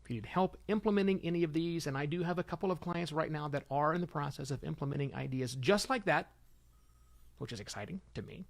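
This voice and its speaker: A middle-aged man hosting a solo show, giving informal advice in an animated, emphatic way with conversational asides. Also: fairly high pitch, fast, vocal fry. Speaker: podcast host and consultant.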